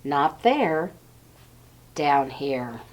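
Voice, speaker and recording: The voice is a woman playing a mysterious living darkness in a children's storybook. It coaxes and beckons a child, calm and unhurried, with a reassuring manner. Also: low voice, heavily acted, rough recording. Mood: insistent, coaxing. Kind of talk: children's storybook read-aloud.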